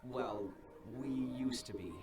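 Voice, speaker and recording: A man's voice: medium pitch, measured pace, roomy sound, recorded from a distance, loud music behind the voice, natural voice. A man voicing a fretful, easily offended young scholar with theatrical delivery in an anime game. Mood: sheepish.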